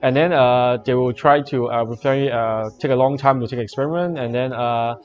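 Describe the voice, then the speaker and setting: A man explains things casually as co-host of a brewery tasting. He speaks at a medium pitch in hesitant, broken-up phrases. The audio is slightly dull, with faint music underneath. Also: fairly even intonation, moderate pace. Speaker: brewery packaging supervisor co-hosting a tasting. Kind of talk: casual explanatory livestream chat. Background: faint background music.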